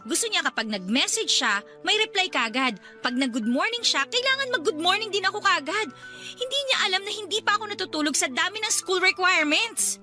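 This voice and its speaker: An exasperated young female med student, high-pitched. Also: animated, brisk, bright, forceful tone, soft background music, heavily acted. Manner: exasperated, emphatic complaining. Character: stressed, irritable young female medical student, older sister. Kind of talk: radio drama dialogue in Filipino.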